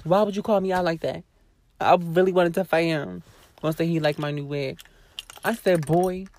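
A woman YouTuber ranting casually to her viewers, sassy and blunt, with a hoarse voice and a lot of cursing. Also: on the low side, steady tone, moderate pace, darkish tone. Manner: sassy, blunt, swears freely, talks straight to viewers. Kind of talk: casual vlog rant to camera.

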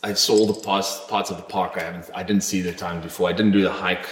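Deep-voiced man rambling through a story. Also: fairly even intonation, quick pace, reverberant, a little hiss or hum. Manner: rambling storyteller, frequent fillers and restarts, swears casually. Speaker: podcast guest telling a travel story. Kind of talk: casual chat.

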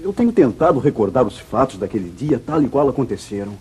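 A raspy, anguished wronged son. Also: male voice, medium pitch, sing-song, fast talker, darkish tone. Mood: anguished, confused. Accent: Brazilian. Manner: emotional, dramatic, earnest. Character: anguished, falsely accused young wayward son. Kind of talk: 1950s Brazilian radio serial acting. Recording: muffled vintage radio drama recording.

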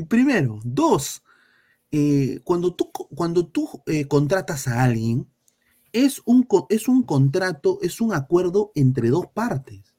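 A man's voice: fairly high pitch, very animated, slow pace, warm tone, breathy edge. A man from Peru with a Peruvian Spanish accent, ranting bluntly and crudely as a football talk host. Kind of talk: heated casual football talk.

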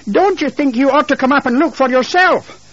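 A man's voice: high voice, huge pitch swings, measured pace, breathy edge, dry recording, muffled recording, voice pushed up, full character voice. Man putting on a patronizing, swooping storybook wife. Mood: anxious, exasperated. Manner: condescending, sighing. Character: skeptical, patient, dismissive middle-class English wife and mother.

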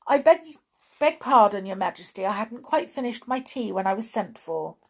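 A woman's voice: medium pitch, lively intonation, normal speed, gravelly, a little mellow, slightly rough. A woman voicing a timid, miserable male hatter in a storybook, apologetic and nervous. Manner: nervous, pleading.

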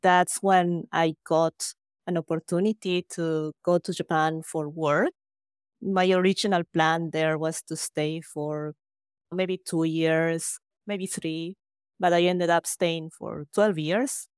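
A woman from Argentina, a guest on an interview, calmly recounting her life and career in order. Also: lowish voice, clear, resonant tone, no echo, clean studio sound. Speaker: HR consultant, guest. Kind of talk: interview answers.

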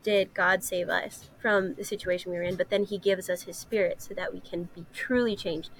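A woman co-hosting a podcast, explaining stories in an animated, conversational way and jumping in readily. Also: on the low side, moderate pace. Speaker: podcast co-host. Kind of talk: casual discussion of stories.

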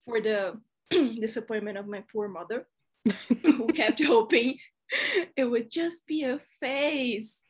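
A woman from Brazil with a Brazilian Portuguese accent, speaking English as a guest on a podcast and telling stories with quick laughs. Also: a little high, husky. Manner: animated storyteller, quick laughs. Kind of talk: casual chat.

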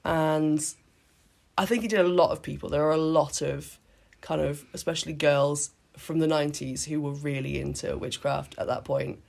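A woman in her late thirties from Britain, hoarse with a cold, chatting casually as a podcast co-host and often restarting her sentences. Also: low-pitched, fairly even intonation, moderate pace, darkish tone. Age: late 30s. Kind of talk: casual chat. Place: sitting on the floor in a home play fort.

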